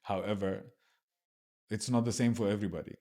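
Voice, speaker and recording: Man hosting a podcast, low voice. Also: steady tone, fairly quick, dark tone. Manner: scripted intro, then casual questions with fillers. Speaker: podcast host. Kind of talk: podcast interview.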